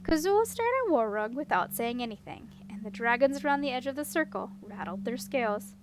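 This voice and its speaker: A woman's voice: on the high side, expressive, a little fast, background noise. A woman reading a storybook aloud as scripted audiobook narration. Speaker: audiobook reader.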